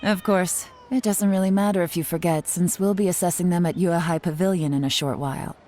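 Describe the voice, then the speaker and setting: A woman performs a young covert operative for a video game. Her voice is fairly low and very mellow, with a sly, confident, teasing air. Faint music sits behind her. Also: steady tone, fairly quick, lightly acted. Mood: businesslike. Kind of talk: scripted video game dialogue.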